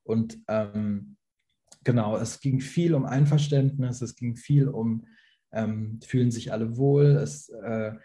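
Young man, very dark tone, halting and thoughtful delivery, video call. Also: medium pitch. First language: Farsi. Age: young adult. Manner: hesitant, many fillers and restarts. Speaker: actor, invited guest. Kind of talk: online panel talk.

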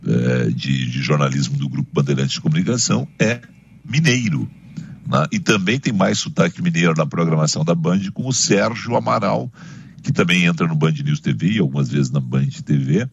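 Gravelly-voiced man hosting radio chat. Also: rumbling low, expressive, highs cut off. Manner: chatty, quick asides, repeats words. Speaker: radio host. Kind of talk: casual on-air chat.

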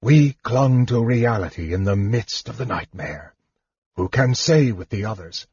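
A man voicing a frail young mage in a fantasy audiobook, bitter, weary and cynical, speaking in a hushed way. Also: fairly low pitch, expressive, unhurried, slightly rough, no room sound, heavily acted. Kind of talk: audiobook fantasy character dialogue. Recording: slightly muffled, dull sound.

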